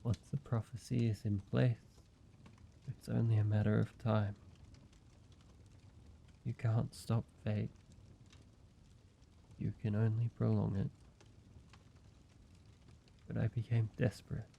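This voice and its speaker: A man voicing an ancient vampire in an intimate roleplay audio, speaking in a measured, halting, solemn way, like a weary father telling his past. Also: lowish voice, flat delivery, creaky, dark tone, slight background noise. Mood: somber, regretful.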